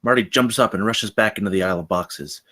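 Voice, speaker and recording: A man's voice: on the low side, fast, sharp tone. A man narrating the scene headings and stage directions at a screenplay table read.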